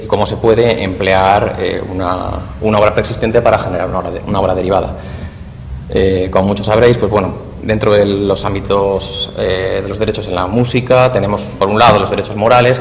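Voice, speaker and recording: A man with a Spanish accent giving an academic conference talk in a lecture hall, explaining and addressing his audience directly. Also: fairly low pitch. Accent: Spain. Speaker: university lecturer. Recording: reverberant hall, faint noise.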